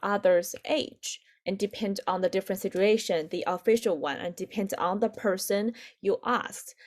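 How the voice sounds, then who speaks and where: A woman in her twenties with a Chinese accent and native Chinese, teaching an online language lesson haltingly, repeating phrases for learners. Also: medium pitch, steady tone. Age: 20s. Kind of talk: online lesson, explaining with examples.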